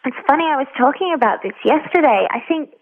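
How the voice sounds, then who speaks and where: A thin telephone line carries a woman's fairly high voice. She talks casually as an interview guest, thinking her way through answers with frequent hesitations and filler words. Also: lively intonation, fast talker. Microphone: phone call.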